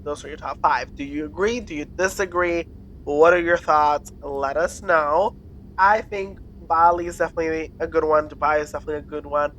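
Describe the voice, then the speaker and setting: A woman's voice: low-pitched, fairly even intonation, a little fast, a bit bright, slight background noise, low-quality recording. A woman co-hosting a podcast in casual chat, playfully quizzing and teasing her co-host and laughing often.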